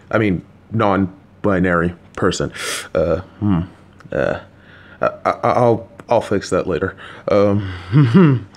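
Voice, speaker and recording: Casting: a man playing a middle-aged dad, awkward and kind, unhurried delivery. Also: on the low side. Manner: slow, halting, warm dad jokes. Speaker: voice actor playing a father. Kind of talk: audio roleplay.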